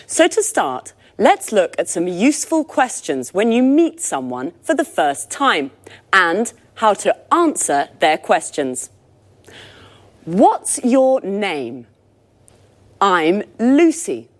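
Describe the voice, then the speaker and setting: Woman, 20s, from Scotland, presenter, slow pace, lively tone. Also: mid-range voice. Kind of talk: scripted language-lesson presenting.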